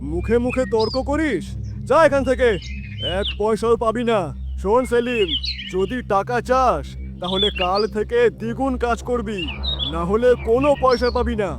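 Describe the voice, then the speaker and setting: A man playing a cruel, quick-tempered village landlord who scolds and orders people about in a cartoon horror story. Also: very high voice, background noise. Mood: angry, contemptuous. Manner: scolding, domineering, commanding. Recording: music behind.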